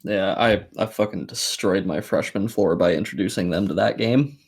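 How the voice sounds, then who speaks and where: Low-pitched man, casual sweary podcast chat. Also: moderate pace, vocal fry, breathy edge. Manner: sweary, blunt. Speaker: podcast co-host. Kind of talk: casual chat, telling anecdotes.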